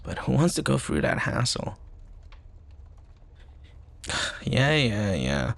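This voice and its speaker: A man playing a young college student, a protective, teasing and tender best friend, in an intimate ASMR roleplay with soft, sighing, hesitant delivery. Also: fairly low pitch, exaggerated voice. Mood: mock annoyed. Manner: soft, sighing, hesitant stammers, breathy asides.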